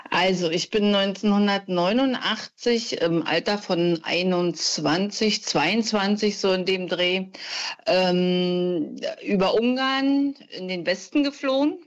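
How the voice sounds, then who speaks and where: A woman's voice: medium pitch, slow. A woman in her fifties from East Germany, calling in to a radio show and telling her story reflectively, with many fillers. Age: 50s. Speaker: radio caller. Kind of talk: phone-in call. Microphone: phone. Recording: lo-fi phone line.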